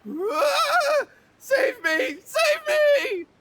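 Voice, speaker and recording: Anxious, sheepish younger brother. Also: male voice, extremely high pitch, big pitch swings, drawn-out words, shouting, noisy background, full character voice. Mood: terrified. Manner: earnest, sheepish. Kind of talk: anime video game acting. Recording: game music behind voice.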